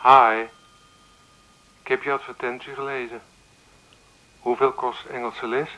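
Blunt sneering caller in a radio drama, sharp edged mid voice, curt. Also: male voice, medium pitch, little pitch movement, a little slow, low-quality recording. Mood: haggling, curt. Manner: blunt, haggling, dismissive. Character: curt, sneering male callers answering a small ad. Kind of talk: radio play dialogue.

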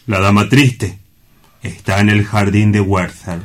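A man's voice: lowish voice, fairly even intonation, measured pace, lightly acted. An actor playing a gloomy, dutiful assistant in a radio drama, reporting to his superior in a dry, deferential, sombre manner. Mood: doubtful, sombre. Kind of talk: theatrical radio drama acting.

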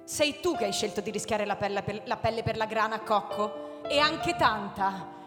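A woman's voice: mid-range voice, moderate pace, big-room sound, lightly acted. An actress playing a tough, irritated young TV network woman in a radio drama, blunt, scolding and sarcastic. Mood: scornful. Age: young adult. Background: music, clearly heard.

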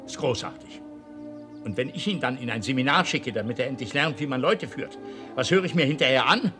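A man's voice: mid-range voice, expressive, a little fast, music in the background, lightly acted. An actor playing a domineering, hot-tempered middle-aged father and company boss in a radio drama, imperious and sarcastic. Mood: indignant, scornful.

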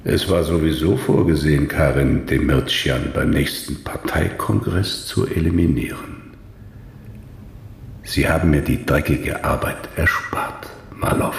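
Very deep gravelly calculating official. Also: male voice, unhurried, exaggerated voice. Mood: cold, satisfied. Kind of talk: radio drama dialogue. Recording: echoing space with faint rumbling noise.

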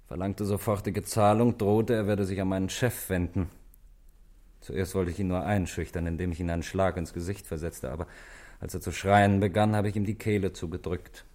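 An actor playing a calm, weary man confessing to murder in a 1960s German radio drama, measured and wryly resigned. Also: deep voice, close to an everyday voice.

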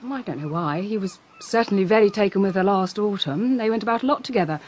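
Woman as languid English society lady, medium pitch. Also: animated, quick pace, a little mellow, a little hiss or hum, close to an everyday voice. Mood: guarded gossip. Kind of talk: radio drama acting.

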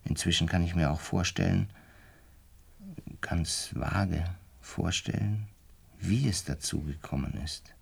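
Very deep, gravelly pensive man. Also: slow, no room sound, exaggerated voice. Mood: subdued, musing. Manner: hesitant, musing, explanatory. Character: pensive, resigned man recounting a biological catastrophe. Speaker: narrator and lead character of a radio play. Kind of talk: intimate reflective radio drama narration and dialogue.

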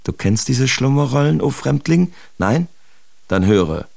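An actor playing a sardonic, self-absorbed bohemian letter writer in a literary radio drama, with theatrical, deliberate diction. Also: male voice, medium pitch, big pitch swings, vocal fry, darkish tone, dry recording, exaggerated voice. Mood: grandiose, musing. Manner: theatrical, deliberate, ornate diction with pointed emphasis.

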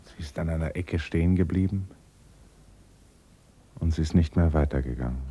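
A man narrating a literary radio play in a husky, measured, sombre manner. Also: a bass voice, mellow.

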